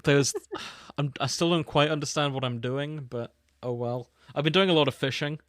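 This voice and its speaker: A man's voice: a little high, moderate pace, no echo, studio quality. A man co-hosting a podcast in casual comic chat, rambling in a jokey, giggly way. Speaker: podcast co-host.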